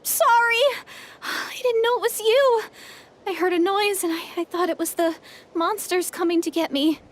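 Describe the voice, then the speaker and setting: High-voiced, flustered young girl. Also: moderate pace, slight background noise. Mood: panicked apology. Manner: flustered, hesitant, pleading. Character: jumpy young girl, apologetic, needy, evasive. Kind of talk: anime-style video game voice acting.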